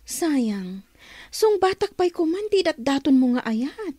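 High-voiced, reproachful young sweetheart, Ilocano. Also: female voice, sing-song, slow, mellow, dry recording, clean studio sound, heavily acted. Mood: regretful, reproachful. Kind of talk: Ilocano comedy radio drama acting.

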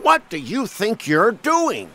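A man's voice: sits high, wildly swooping pitch, slow pace, crowd noise, exaggerated voice. A man voicing a bossy, stern police officer in a children's cartoon, scolding and giving orders. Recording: voice over loud music.

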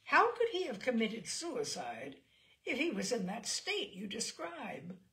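Audiobook role: woman reader playing urgent, agitated sister, raised sharp voice, demanding answers. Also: on the high side, wildly swooping pitch, measured pace, voice pushed up, full character voice.

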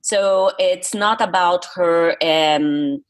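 A woman's voice: lowish voice, drawn-out words. A Brazilian woman, a native Portuguese speaker, talking earnestly and emphatically as a coach being interviewed. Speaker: guest, public speaking coach. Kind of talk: interview talk.

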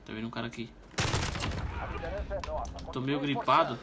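A man's voice: medium pitch, normal speed, very noisy. Stuffy-nosed Brazilian gamer guy. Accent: Brazilian Portuguese. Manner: relaxed slangy chatter, talks to viewers. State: stuffy nasal voice from a cold. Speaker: gaming channel host. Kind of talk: casual gameplay commentary. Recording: loud game gunfire over the voice.

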